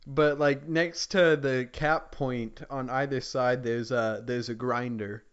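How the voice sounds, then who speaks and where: A man co-hosting a podcast in casual gaming chat, with a hesitant, halting delivery. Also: mid-range voice, fairly even intonation, clear, resonant tone, muffled recording. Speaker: podcast co-host.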